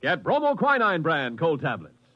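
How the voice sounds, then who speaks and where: Casting: male vintage radio pitchman, high lively voice, emphatic, dry but dull old recording. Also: normal speed, dry recording. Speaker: commercial announcer. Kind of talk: 1950s radio ad read, emphatic scripted pitch.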